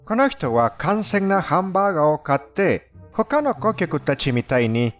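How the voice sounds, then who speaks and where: Man, foreign accent, very lively intonation, fairly high voice, polite theatrical countdown narration, music underneath. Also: moderate pace. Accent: foreign, non-native Japanese. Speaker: fandub narrator of a countdown video. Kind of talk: scripted narration of creepy countdown stories. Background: background music.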